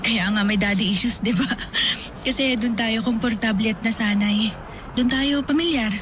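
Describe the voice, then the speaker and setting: Actress as a young woman, abuse survivor, wry and resigned, slightly high voice, faint background hiss. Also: measured pace, close to an everyday voice. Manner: wry, resigned, laughs off pain. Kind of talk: Filipino radio-style drama acting.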